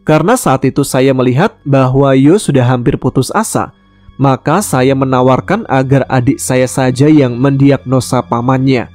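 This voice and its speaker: Guy from west kalimantan calmly telling a spooky true story, dry close mic, soft music under it. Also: mid-range voice, dry recording. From West Kalimantan, Indonesia. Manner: calm, measured storytelling with dialogue voiced plainly. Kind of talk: first-person ghost story narration.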